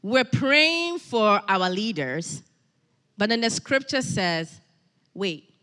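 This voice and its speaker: A middle-aged woman preaching a sermon, animated and emphatic, repeating key phrases and breaking into personal asides. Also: medium pitch, takes it slowly, big-room sound. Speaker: guest preacher.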